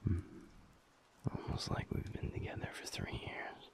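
A man playing a tender, teasing alpha werewolf boyfriend in an intimate audio roleplay, murmuring softly in a breathy, gravelly whisper with pauses. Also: close to an everyday voice. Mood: teasing, affectionate. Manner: slow, soft, pausing, murmured.

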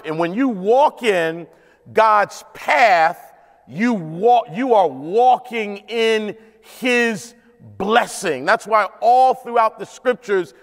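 A middle-aged man preaches slowly from a church stage, his voice pitched high. He leans on key phrases and repeats them for emphasis, and the big hall adds a long reverb. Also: a bit bright. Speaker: pastor. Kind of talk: sermon. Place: large church auditorium stage.

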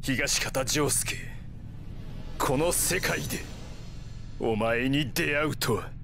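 An actor playing a cold, haughty serial-killer villain in a Japanese anime game, measured and disdainful. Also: male voice, medium pitch, gravelly. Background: game music.

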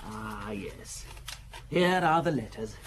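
An actor playing a fussy, discreet Foreign Office official in a radio drama, formal, hesitant and officious. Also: male voice, lowish voice, animated, slow pace. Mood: fussy, evasive. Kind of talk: British radio drama acting. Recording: hissy old radio broadcast.

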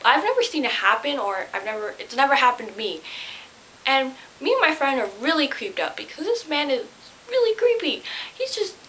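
A young woman telling a personal story casually to camera in an animated, slightly flustered way, recorded in a bedroom on a camera a short distance away. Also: sits high, fairly quick. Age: young adult. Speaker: vlogger. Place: small bedroom, indoors. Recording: hissy home camera recording.

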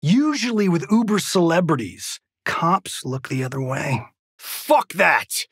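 Cocky, animated Hollywood action star. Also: male voice, on the high side, measured pace, husky, no room sound, clean studio sound, exaggerated voice. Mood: brash, boastful. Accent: American. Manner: swaggering, sarcastic, showy. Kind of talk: video game character one-liners.

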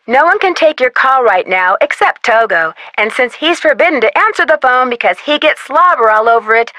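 A plucky young girl detective from a video game, inquisitive and friendly, with a fairly high, lively voice. She is recorded close and dry in a studio. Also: dry recording. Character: young female amateur detective, curious and upbeat. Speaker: game protagonist and tutorial guide.

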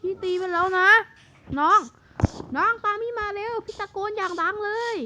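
A man voicing an excitable, bossy big-brother figure in a Minecraft cartoon, calling out warnings to his sibling. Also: very high voice, moderate pace. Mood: excited. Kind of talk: cartoon narration. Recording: noisy recording.

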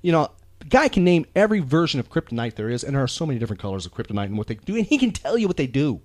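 A man's voice: medium pitch, very animated, very fast, a little mellow, no room sound, studio quality. An American man co-hosting a podcast in a casual, emphatic, earnest and rambling way. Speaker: podcast co-host. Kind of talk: casual chat.

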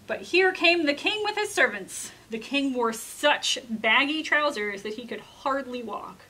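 A young woman storyteller giving an expressive, deliberate, emphatic dramatic read-aloud for children. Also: sits high, slight background noise, low-quality recording. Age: young adult. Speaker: library storyteller. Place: indoor library room with bookshelves.

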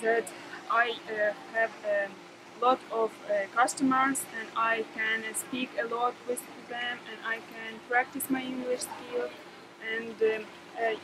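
A woman in her twenties with a Ukrainian accent, giving a halting testimonial. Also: a little high, level tone. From Lviv region, Ukraine. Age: 20s. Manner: hesitant, halting. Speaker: lifeguard. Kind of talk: on-camera testimonial. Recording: noisy outdoor pool area.

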